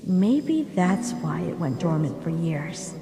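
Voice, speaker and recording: A woman voicing a gentle, wistful monster mother back from death, speaking tenderly and reflectively in a dramatic comic dub. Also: on the low side, sing-song, takes it slowly, creaky, crowd noise, full character voice. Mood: gentle, regretful. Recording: reverberant hall echo, music behind.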